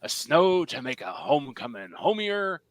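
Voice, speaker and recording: A man's voice: fairly high pitch, very animated, moderate pace, no room sound. A man voicing a folksy narrator of a Christmas cartoon, reading scripted storybook narration. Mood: warm storytelling.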